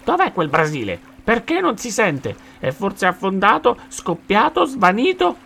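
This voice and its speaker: Shrill, creaky squawking parrot. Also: male voice, huge pitch swings, unhurried, sharp tone, slight background noise, exaggerated voice. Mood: impatient. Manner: shrieking exclamations. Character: excitable, impatient, dim-witted squawking parrot. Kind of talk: rhymed children's story character.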